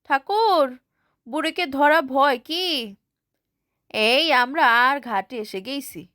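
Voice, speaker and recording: A woman voicing a rugged, weathered male river ferryman who speaks in rustic village talk, calm and commanding. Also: extremely high pitch, slow, no echo, studio quality, voice pushed up, heavily acted. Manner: rustic village speech, calling out orders.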